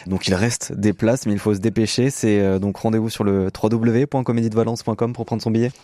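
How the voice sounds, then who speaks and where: A man hosting a conversational radio interview, putting his questions in halting phrases with pauses midway. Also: fairly low pitch, fairly even intonation, mellow, no echo.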